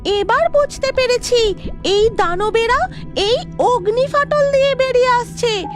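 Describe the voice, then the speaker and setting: Anxious young cartoon mermaid princess, played by the narrator woman, very high and urgent. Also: unhurried, over loud music. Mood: alarmed.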